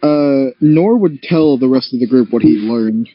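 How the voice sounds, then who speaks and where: A man's voice: medium pitch, unhurried, dry recording. A man playing a tabletop game, moving between casual chat and talking in character. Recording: dry, dull lo-fi audio.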